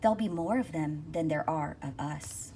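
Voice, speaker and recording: A woman's voice: low-pitched, moderate pace, warm tone. A woman voicing suspicious, disapproving townsfolk in a storybook, exclaiming with indignation. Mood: alarmed, indignant. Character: alarmed gossiping townsfolk, disapproving and suspicious of newcomers. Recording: distant mic with faint noise.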